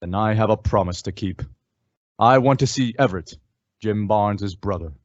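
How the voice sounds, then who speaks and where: A teenage man playing an earnest, measured masked cowboy hero in an old-time western radio drama. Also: fairly low pitch, noisy background, lightly acted. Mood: solemn, resolved. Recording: dull, dry lo-fi radio recording.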